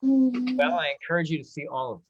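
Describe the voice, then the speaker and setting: Lively-pitched woman moderating online. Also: moderate pace, husky, dull audio. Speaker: discussion moderator. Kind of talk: hosting an online Q&A. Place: indoors on a video call. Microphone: video call.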